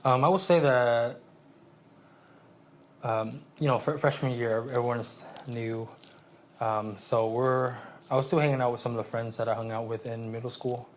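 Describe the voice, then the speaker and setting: A man in his late twenties from Vietnam, raised in San Jose, speaking as an interview guest in a halting, reflective way with many restarts. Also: medium pitch, steady tone. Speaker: guest, aspiring therapist.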